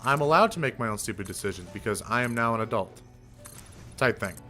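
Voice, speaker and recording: A young man streamer chatting casually, rambling with fillers and casual swearing, with a fairly deep voice. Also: animated, fairly quick, pushed and bright, faint music behind. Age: young adult. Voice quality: somewhat deep voice. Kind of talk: casual live-stream chat.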